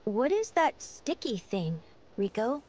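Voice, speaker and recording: A woman's voice: fairly high pitch, sing-song, takes it slowly, a little mellow, slight background noise. A woman voicing an earnest young robot boy in an anime game, wary but brave.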